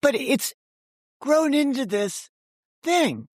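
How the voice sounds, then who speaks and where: A woman's voice: high-pitched, lively intonation, slow, darkish tone, dry recording. Older woman, chatty storyteller, close dry sound. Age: older, around 70. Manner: chatty and candid, acts out quoted remarks. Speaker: guest, author and audio drama creator. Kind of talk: casual anecdotal storytelling in an interview.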